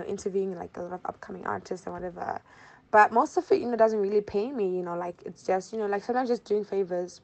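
A woman's voice: fairly low pitch, darkish tone. A woman in her early twenties with a Zimbabwean accent, chatting informally as an interview guest and often repeating phrases for emphasis. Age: early 20s. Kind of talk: casual conversational interview answers.

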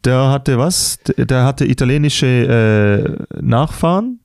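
A young man co-hosting a relaxed podcast chat, hesitating often with filler sounds and breaking into easy laughter. His voice sits at an ordinary middle pitch. It is recorded close on the mic in a completely dry, dead room. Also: slow, vocal fry, smooth, no echo. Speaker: podcast co-host. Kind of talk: casual chat.

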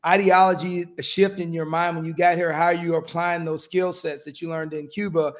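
A man's voice: fairly high pitch, level tone, normal speed. A man hosting a podcast, talking energetically with friendly banter as he interviews his guest in a studio. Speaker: podcast host.

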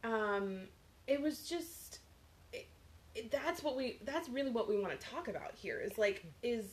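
A woman's voice: fairly high pitch, expressive, unhurried. A woman in her thirties co-hosting a podcast, chatting animatedly, laughing and restarting her sentences. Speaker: podcast co-host. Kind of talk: casual chat.

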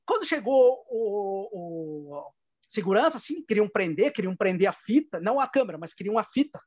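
Man, Rio Grande do Sul accent, fairly high voice, rambling anecdotes, stammering restarts, dull lo-fi audio. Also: lively intonation, moderate pace, darkish tone, dry recording. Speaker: filmmaker guest. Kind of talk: casual storytelling interview.

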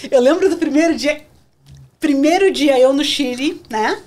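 Animated southern Brazilian woman, higher voice. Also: normal speed. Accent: southern Brazilian (gaúcho). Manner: animated storyteller, acts out scenes. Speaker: guest, career counselor. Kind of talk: casual podcast interview.

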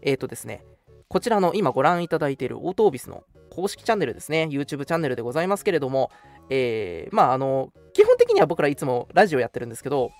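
A man's voice: fairly high pitch, very animated, racing. A man hosting a casual radio show, chatting politely and making announcements over background music.

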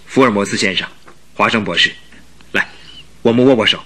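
A man's voice: on the low side, fairly quick, pushed and bright. A man playing a gracious, formal young king in a Mandarin radio drama. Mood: relieved, gracious.

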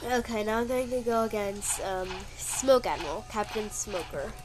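A woman's voice: medium pitch, normal speed, low-quality recording. A woman with a congested, sniffly voice, chatting casually and rambling while she plays a game, playfully complaining whenever she gets hit. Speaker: gameplay video creator. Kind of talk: casual gameplay commentary. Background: loud game music.

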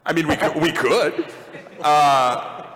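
A man with a notably high voice hosts a live podcast panel. His talk is casual and conversational as he moderates questions. The sound rings with the long reverb of a convention hall. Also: animated, slow pace, fairly bright tone. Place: large convention panel room with audience.

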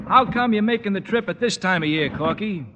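An actor playing an easygoing, bantering telegraph clerk with a placating, joking manner, in old radio comedy style. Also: male voice, high-pitched, fairly quick, very noisy, natural voice. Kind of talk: 1940s-50s radio comedy acting. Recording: reverberant old radio recording.

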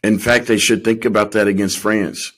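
A man with a low voice talks sports as a podcast co-host. His delivery is informal and slangy, and he repeats himself to drive a point home. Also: level tone, moderate pace, a bit bright. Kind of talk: casual sports chat.

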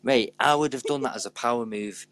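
A man's voice: medium pitch, fairly quick, fairly bright tone. A British man hosting a casual live show with jokey, sweary, blokey banter. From Britain. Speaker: host.

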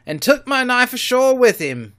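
A man voicing a superstitious pirate sailor, speaking loudly and uneasily. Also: very high voice, big pitch swings, normal speed, voice pushed up, heavily acted. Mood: uneasy.

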